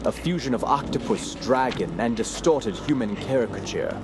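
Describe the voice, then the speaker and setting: An actor playing a grave, brooding gentleman scholar who narrates a horror audio drama in a formal, measured, ominous way. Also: mid-range voice, expressive, background noise, natural voice. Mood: uneasy, puzzled.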